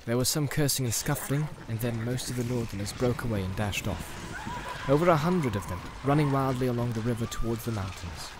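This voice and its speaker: A man's voice: medium pitch, lively intonation, a little fast, background noise. A man narrating a fantasy novel in a dramatic, scripted audiobook style. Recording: faint ambient soundscape behind.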